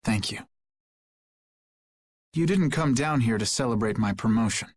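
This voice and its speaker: An actor playing a principled young knight captain in a video game, formal, solemn and sincere. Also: male voice, medium pitch, lively intonation, a little slow, gravelly, husky, heavily acted. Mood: composed.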